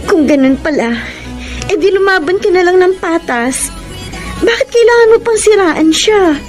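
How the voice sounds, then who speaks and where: An actress playing an anguished, guilt-ridden young escort in a Filipino radio drama, pleading emotionally with a Filipino accent. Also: female voice, very high voice, lively intonation, normal speed, darkish tone. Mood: pleading, reproachful. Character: anguished young escort woman confessing betrayal to the man she loves. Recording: dramatic music behind the voice.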